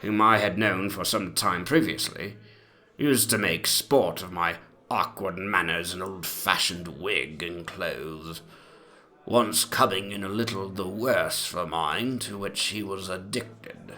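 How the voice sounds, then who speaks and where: A man playing a very old, rambling gentleman memoirist with a pompous, old-fashioned manner in a dramatic reading. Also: low-pitched, slow pace, heavily acted. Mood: reminiscing, pompous.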